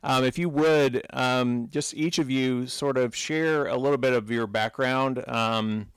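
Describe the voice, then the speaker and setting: A man's voice: mid-range voice, fairly even intonation, unhurried, clean tone, no room sound. A man co-hosting a podcast, talking in an earnest, encouraging way. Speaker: podcast co-host. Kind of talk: casual podcast talk.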